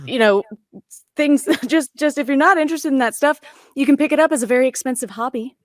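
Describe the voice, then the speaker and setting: Woman, slightly high pitch, dry recording, casual roundtable talk. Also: brisk, dry recording. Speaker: voice actor, panel guest.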